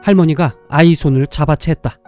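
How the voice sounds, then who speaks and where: A man narrating a scripted dramatic story in a measured, literary way, pausing between short phrases. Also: fairly high pitch, dark tone, soft background music.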